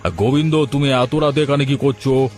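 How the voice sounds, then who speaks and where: An actor playing a wealthy, credulous and commanding village landlord in a cartoon story. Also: male voice, medium pitch, normal speed, a little mellow, natural voice. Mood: stern. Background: background music.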